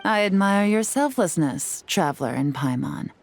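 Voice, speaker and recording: Calculating female spy in a game, low-pitched, calm and wry. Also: normal speed, vocal fry, soft background music. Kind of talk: video game dub dialogue.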